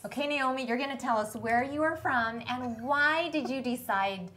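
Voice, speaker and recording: A woman's voice: high-pitched, normal speed, fairly bright tone. A woman hosting a TV nutrition show, introducing students with encouraging prompts in a studio kitchen. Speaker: host, nutrition professor. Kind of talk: TV interview host.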